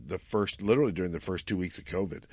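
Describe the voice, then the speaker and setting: A man's voice: deep voice, quick pace, a little mellow, dry recording. A middle-aged man co-hosting a podcast, chatting casually as he recaps an episode. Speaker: podcast co-host.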